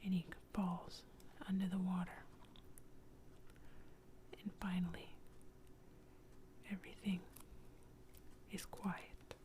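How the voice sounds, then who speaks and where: Slow whispering woman storyteller. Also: on the low side. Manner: soft unvoiced whisper, slow and calm.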